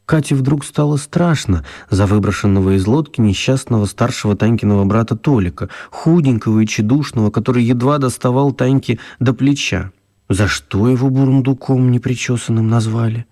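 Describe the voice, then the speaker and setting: A man narrating a children's radio story in a measured, expressive storytelling manner. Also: medium pitch, natural voice.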